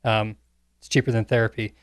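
A man's voice: lowish voice, little pitch movement, a little fast, clear, resonant tone, no echo, clean studio sound. A man co-hosting a casual podcast discussion, giving his opinions in a wry way. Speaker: podcast co-host.

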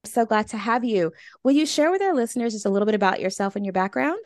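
A woman's voice: fairly high pitch, lively intonation, fast, dry recording, studio quality. A woman speaking conversationally as the host of an interview podcast.

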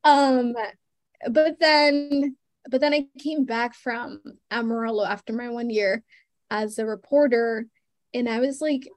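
Twenties woman talking casually, lots of like and you know, kinda high voice, dry room. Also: fairly even intonation, measured pace, dry recording. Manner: chatty, lots of "like" and "you know", laughs often. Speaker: guest journalist. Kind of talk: casual interview chat.

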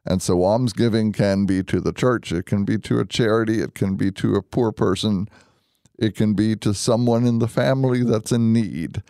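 A man speaking as a deacon and radio host, conversational and earnest, explaining things deliberately. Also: on the low side, warm tone, smooth, no room sound, clean studio sound. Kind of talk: conversational religious radio hosting.

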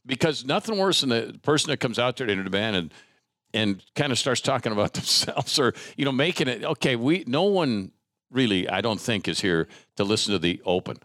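A middle-aged man hosting a casual chat, expressive and chatty, dropping into mock announcements and asides. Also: mid-range voice, brisk, dry recording, clean studio sound. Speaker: host. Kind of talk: casual chat, conversational interviewing.

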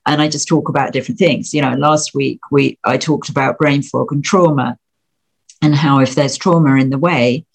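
Reflective woman therapist, husky, chatty, many fillers. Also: a bass voice, fairly even intonation, moderate pace. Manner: reflective, heavy use of "you know". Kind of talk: casual conversation.

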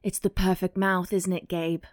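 A woman's voice: fairly low pitch, flat delivery, moderate pace, darkish tone, dry recording, studio quality, close to an everyday voice. Woman reading as commanding, lustful male romance lover. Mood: teasing, commanding. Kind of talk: audiobook character dialogue.

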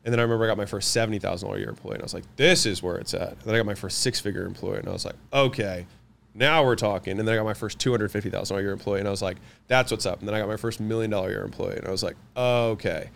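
Fast-talking, low-voiced man in an interview. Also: creaky, a bit bright, a little hiss or hum. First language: French. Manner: fast, confident, lists points in quick runs. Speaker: guest, business owner. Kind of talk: interview answers.

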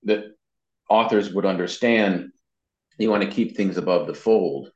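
Deep-voiced man in a muffled, echoey room. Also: unhurried, a little mellow. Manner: informal, explanatory, often checks in with "you know". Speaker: interview guest, marketing and web consultant. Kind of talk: conversational interview advice. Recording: muffled lo-fi sound, roomy echo.

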